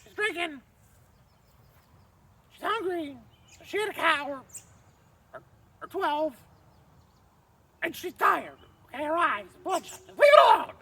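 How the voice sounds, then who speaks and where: A man voicing a fawning, scheming male trickster god who makes nervous, flustered excuses and keeps clearing his throat. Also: very high-pitched, very animated, a little slow, pauses often, bright, forceful tone, far from the mic, a little hiss or hum, voice pushed up, exaggerated voice.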